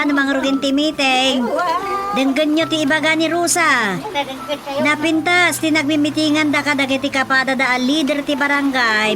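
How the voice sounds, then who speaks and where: A woman's voice: high voice, close to an everyday voice. An actress playing a bossy senior village woman who speaks emphatically in an Ilocano radio drama. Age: older. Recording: music under the dialogue.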